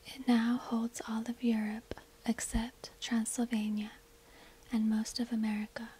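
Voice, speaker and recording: Asmr lady whispering real slow and flat, super breathy, close on the mic. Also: fairly high pitch. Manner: slow, deliberate, hushed delivery. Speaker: ASMR video host and shopkeeper. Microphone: close binaural microphone.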